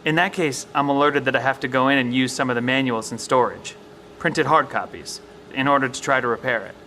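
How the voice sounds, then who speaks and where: A man playing an earnest professor in a survival bunker, explaining things in a measured, careful way in an audio drama. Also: medium pitch, bright, forceful tone, slight background noise, lightly acted. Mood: explaining calmly. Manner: explanatory, hesitant, reasoning.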